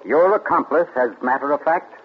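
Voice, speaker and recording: An actor playing a suave, gloating male amateur sleuth in 1940s radio acting, theatrical and deliberate. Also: on the high side, husky, highs cut off. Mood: triumphant. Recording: scratchy 1940s radio broadcast.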